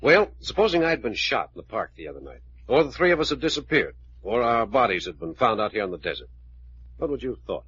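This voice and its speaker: An actor playing a blunt, brisk American private detective in charge, in an old-time radio mystery style. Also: male voice, mid-range voice, very animated, fairly bright tone, husky, heavily acted. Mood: grim, explaining. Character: hard-boiled, decisive male private detective in charge. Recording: dull, noisy old radio recording.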